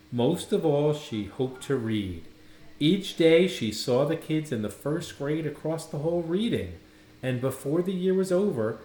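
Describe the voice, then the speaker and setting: A man reading a children's picture book aloud with expressive storytelling, recorded in an echoey room. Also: fairly high pitch.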